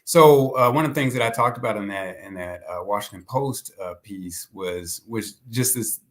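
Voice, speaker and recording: A man with a fairly low voice speaks as an academic guest in an interview. His delivery is conversational but deliberate, often doubling back on words as he builds an argument. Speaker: guest, history professor. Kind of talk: conversational expert commentary.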